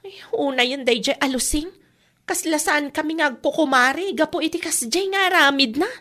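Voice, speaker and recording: A woman's voice: high voice, fairly quick, bright, forceful tone. A woman playing a put-upon wife in debt, defensive and complaining, in a comedy radio drama. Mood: aggrieved.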